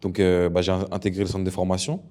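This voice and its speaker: A young man from Ivory Coast, a professional footballer, chatting casually as a guest, relaxed and slangy, repeating himself often. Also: low-pitched, fast talker. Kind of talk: casual interview chat.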